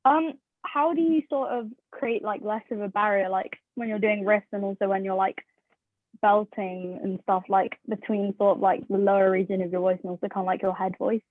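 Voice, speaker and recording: A young woman asking a question, halting and filled with likes, over thin call audio. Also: mid-range voice, steady tone, no echo, narrow, tinny audio. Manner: halting, filler-heavy, says like a lot.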